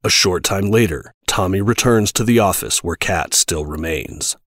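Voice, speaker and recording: Low-voiced male story narrator. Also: moderate pace, fairly bright tone, no echo, studio quality. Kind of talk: scripted narration.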